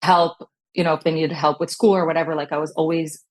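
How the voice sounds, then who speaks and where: A woman guest who grew up in Long Beach, California, with Hebrew as her first language, talking in a relaxed, reflective way with many fillers. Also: low voice, fast talker. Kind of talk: casual conversational interview.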